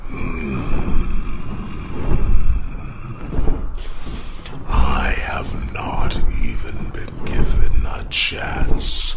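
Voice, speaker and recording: A man voicing an ancient, weary male dragon in an ASMR fantasy roleplay, solemn, formal and protective, with a gravelly, breathy growl. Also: extremely low pitch, heavy background noise, full character voice. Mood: weary, grumbling. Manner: slow, solemn, formal, halting phrases.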